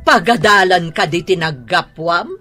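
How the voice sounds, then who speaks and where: A woman's voice: lowish voice, unhurried, sharp tone, faint music behind. A woman playing an exasperated, nagging mother in a radio drama, with a northern Philippine accent. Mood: curious.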